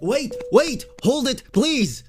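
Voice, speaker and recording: A woman acting as a polite, hurried stranger in an audio drama. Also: high-pitched, sing-song, soft background music, exaggerated voice.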